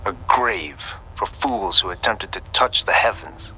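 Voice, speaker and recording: A man's voice: fairly low pitch, a little slow, bright, forceful tone, slight background noise, full character voice, rough recording. An actor playing a bitter, fatalistic man who has given up, trapped in a ruin, in a video game. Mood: hopeless. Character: despairing doomed man trapped in a ruined building.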